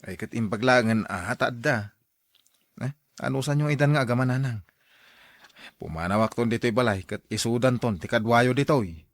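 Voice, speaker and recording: A man's voice: mid-range voice, normal speed, long pauses, no room sound, natural voice. A man playing a frank adult son who questions and reproaches his mother in an Ilocano radio drama, with an Ilocano accent. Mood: concerned.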